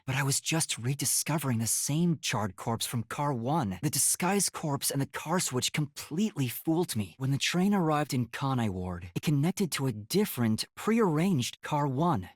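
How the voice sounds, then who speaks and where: A man's voice: medium pitch, breathy edge, no room sound, clean studio sound. A man voicing an earnest, timid yet determined young detective in a video game, boyish and carefully enunciated. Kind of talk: English video game voice acting, scripted.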